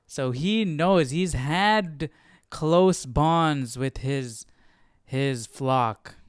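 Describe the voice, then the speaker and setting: A man's voice: on the high side, extremely slow, clean tone, no room sound, studio quality. A young man hosting a livestream study session, giving informal, slangy commentary as he muses aloud. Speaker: Bible study livestream host. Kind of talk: casual reaction commentary.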